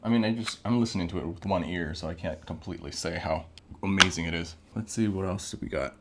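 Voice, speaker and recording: A man's voice: low-pitched, quick pace, very noisy. A man giving casual, off-the-cuff first impressions as a gadget reviewer, thinking aloud as he goes. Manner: off-the-cuff, thinking aloud while reading out menus.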